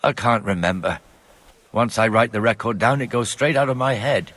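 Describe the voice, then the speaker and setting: A man's voice: on the low side, moderate pace, fairly bright tone. A man acting an ailing town scribe in a video game, grumbling and plaintive.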